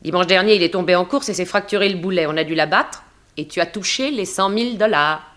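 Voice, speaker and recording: Shrewd, demanding mistress played by an actress. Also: female voice, fairly low pitch, fast, fairly bright tone, close to an everyday voice. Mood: cutting. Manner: cutting, insistent, teasing. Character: pretty, demanding, shrewd mistress of a rich man. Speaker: actor. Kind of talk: early 1960s French radio drama acting.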